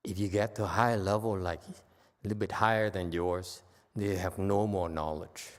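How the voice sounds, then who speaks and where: A man's voice: sits low, fairly even intonation, moderate pace. A man with a Vietnamese accent giving a Buddhist dharma lecture in a temple hall, blunt and teasing, often checking in with "okay?". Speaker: Buddhist teacher.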